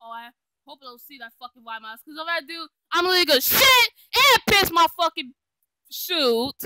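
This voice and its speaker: A performer voicing an excitable, foul-mouthed cartoon cat in an amateur fandub, swearing in exasperation. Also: extremely high pitch, normal speed. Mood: panicked. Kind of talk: improvised comic cartoon fandub. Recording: noisy amateur recording with clicks.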